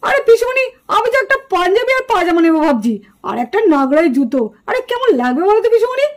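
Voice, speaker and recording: A woman's voice: very high-pitched, sing-song, fast talker. A woman voicing a cheerful, boastful young boy doll in a puppet drama. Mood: boastful, excited.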